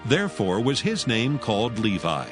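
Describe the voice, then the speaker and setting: A man narrating scripture in a measured, solemn way. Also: fairly low pitch, sing-song. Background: background music.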